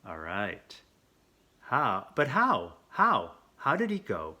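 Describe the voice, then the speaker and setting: A man reading a children's picture book aloud as host, playful and theatrical, with expressive asides and questions. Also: fairly low pitch, slow pace. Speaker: storyteller, host of a read-aloud show.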